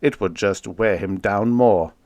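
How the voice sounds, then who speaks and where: Man as irritable scheming scientist, story character, curt, condescending, lowish pitch, dry close sound. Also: unhurried, darkish tone, dry recording, lightly acted. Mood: cautious. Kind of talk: audiobook character acting.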